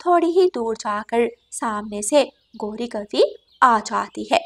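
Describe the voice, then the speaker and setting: A woman's voice: on the high side, lively intonation, slow. A woman narrator reading a scripted children's story.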